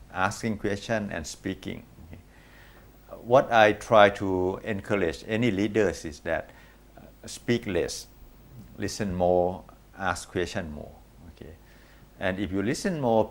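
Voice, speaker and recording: A man with a Thai accent giving measured advice as a coach in an interview. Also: low-pitched. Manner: measured, advisory, short repeated okays. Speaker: management coach guest. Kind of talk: interview answers.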